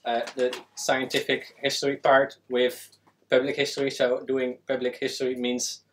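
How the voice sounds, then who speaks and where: A man's voice: mid-range voice, completely flat delivery, normal speed, slight background noise. A young man from the Netherlands, a historian guest, answering earnestly with hesitant fillers in a small studio. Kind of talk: panel answer. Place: small studio with a world map.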